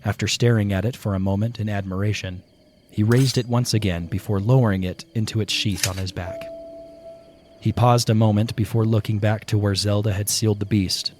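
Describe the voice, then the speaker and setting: A man narrating a scripted fantasy audio play with dramatic, deliberate storytelling. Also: lowish voice, steady tone, darkish tone, slight background noise. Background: prominent music.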